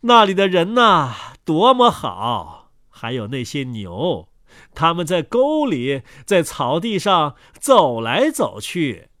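A man hosts a children's story in a fairly high voice. His intonation swings widely and he speaks expressively, as if to a young listener. The recording is dry. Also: slow, no room sound. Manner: animated, addressing a young listener. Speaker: storyteller and host.

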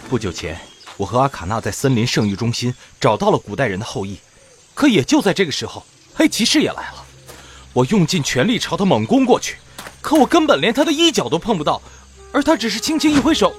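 A dejected young male warrior in an audio drama. His fairly high voice moves quickly and swings dramatically between highs and lows, with faint music underneath. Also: sing-song. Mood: agitated.

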